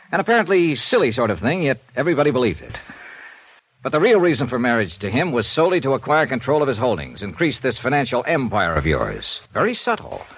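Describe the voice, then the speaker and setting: An actor playing a hard-boiled, sardonic male insurance investigator with a brisk, accusing delivery, in a 1950s radio drama. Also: medium pitch, fairly bright tone, husky, heavily acted.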